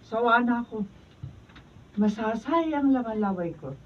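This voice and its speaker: Fed-up Filipino mother for radio drama; animated, swooping delivery, off-mic. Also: a little high, unhurried. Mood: fed-up, worried. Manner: weary, grumbling. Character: fed-up, worried mother of an arrogant grown son. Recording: distant, muffled, noisy studio capture.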